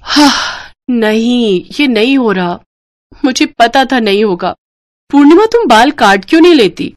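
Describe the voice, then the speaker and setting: A kind and protective young bride, acted by a female narrator in a cartoon story. She speaks high and earnestly, with a caring, sisterly manner. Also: animated, unhurried, no room sound, studio quality. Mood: concerned.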